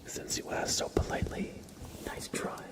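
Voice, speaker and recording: A man doing a whispered ASMR livestream, chatting to viewers in a breathy, playful, teasing way with dry humor. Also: normal speed. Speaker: ASMR live streamer.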